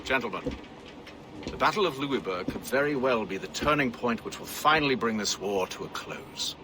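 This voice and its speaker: A man playing a stern, commanding gentleman leader in a video game, formal, measured and authoritative. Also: mid-range voice, expressive, noisy background, lightly acted. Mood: grave. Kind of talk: video game cutscene acting.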